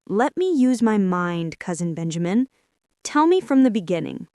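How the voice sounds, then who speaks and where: A woman's voice: medium pitch, very animated, moderate pace, a little mellow, dry recording, clean studio sound, natural voice. Woman playing a composed, thoughtful young rabbit. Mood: reflective, composed. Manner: reflective, reasoning, slightly formal. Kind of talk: storybook character voice.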